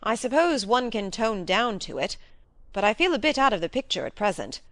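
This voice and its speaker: A woman voicing a polite, frank young gentleman heir who is a little uneasy. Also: medium pitch, sing-song, fairly quick, no room sound, lightly acted. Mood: uneasy, candid.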